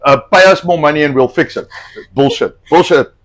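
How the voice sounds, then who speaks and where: A man's voice: a little high, normal speed. Blunt, swearing middle-aged male cooking host. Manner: rambling, blunt, swears a lot. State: slightly hoarse from a cold. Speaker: host cooking on a live stream. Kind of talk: casual profane chat while cooking.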